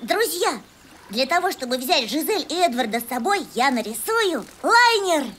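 A performer voicing a cheerful, helpful little boy rabbit who explains drawing clearly in a children's cartoon, in a friendly, instructive way. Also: high-pitched, very animated, moderate pace, background noise. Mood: eager, reassuring. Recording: loud music behind.

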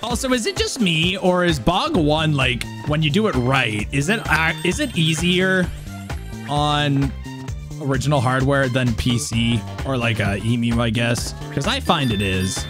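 A man in his thirties talks loosely and playfully into a headset while playing, his pitch bouncing around a lot as he rambles and mutters little nonsense syllables. Game music plays clearly behind him. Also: animated, measured pace. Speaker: speedrunner streaming. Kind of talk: casual live-stream chat while playing. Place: home room in front of a webcam.

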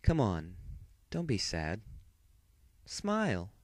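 Casting: male actor as teasing male cartoon cat, unhurried, playful and coaxing, dry close sound. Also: on the low side, wildly swooping pitch, darkish tone, no room sound, voice pushed down, heavily acted. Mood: gentle, coaxing. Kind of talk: amateur cartoon voice acting audition.

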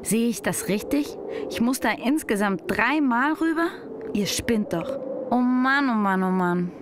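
A woman's voice: on the high side, animated, measured pace. A woman acting an anxious young hiker who is afraid of heights, in an audio drama. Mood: reluctant, fearful. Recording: noisy outdoor ambience behind dialogue.

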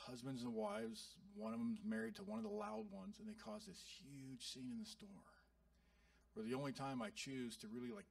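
A male pastor in his thirties giving an animated, joking sermon to his congregation. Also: on the high side, vocal fry, no room sound, recorded from a distance. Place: church sanctuary.

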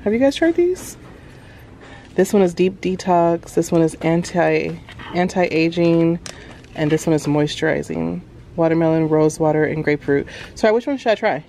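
A woman's voice: on the low side, normal speed, creaky, warm tone, slight background noise. A woman in her thirties vlogging casually, talking directly and familiarly to her viewers. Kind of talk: casual chatty vlog. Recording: music behind the voice. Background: background music.

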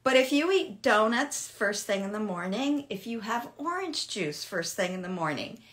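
A woman in her sixties answering fitness questions in a chatty, animated way during a casual live Q&A from a home room. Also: mid-range voice, moderate pace. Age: 60s. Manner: chatty, animated, laughs often. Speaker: fitness host. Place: home room with shelves, indoors.

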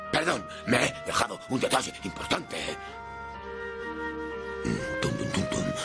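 A gravelly, jolly monster puppet speaks Castilian Spanish with huge swoops in pitch. He is throaty, greedy and proud of himself, talking slowly to small children over music. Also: full character voice. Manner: exuberant, chuckling, addressing young children. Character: jolly, greedy, cookie-loving male monster puppet with artistic pride. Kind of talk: children's puppet show acting.

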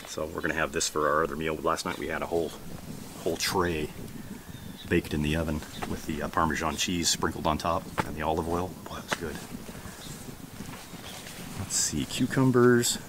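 A middle-aged American man with an exceptionally deep voice talks casually as he shows a garden. His delivery is unhurried and rambling. The audio comes from a handheld camera outdoors, with clear ambient noise behind him. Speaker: homesteading vlogger. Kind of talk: casual garden tour vlog. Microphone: camera held at arm's length.

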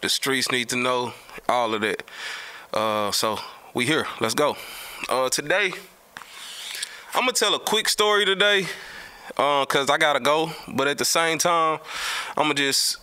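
A man's voice: medium pitch, measured pace, long pauses, sharp tone, smooth. A man with a Southern US accent telling a story casually and repeating himself, in slangy, profane language. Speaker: host telling stories from the music business. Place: small indoor studio at a table. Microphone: studio mic on a boom arm, close.

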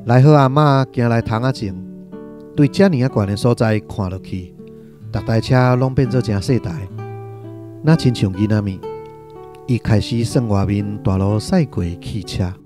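A man's voice: lowish voice, moderate pace, music in the background, lightly acted. A man with a Taiwanese accent narrating a literary radio drama from a script.

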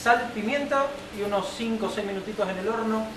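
Fast-talking Argentine chef, roomy sound. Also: male voice, high voice. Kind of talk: cooking demonstration. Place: winery kitchen and open-air grill patio. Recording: roomy, distant mic, music behind.

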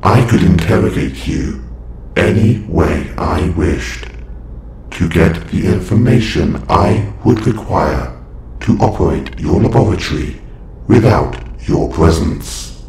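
A man playing a calm, formal robot superhero with quiet menace, speaking in stiff, precise phrases in an audio roleplay. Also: low voice, slow. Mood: menacing. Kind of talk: scripted audio roleplay acting. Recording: echoey, faint background ambient noise.

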